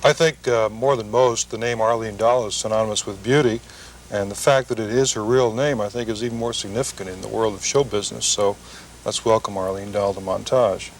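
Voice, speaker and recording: A man's voice: fairly low pitch, steady tone, a little fast, recorded from a distance, rough recording. A man hosting a talk show interview segment, asking friendly, curious questions. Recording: 1970s television broadcast, light hiss.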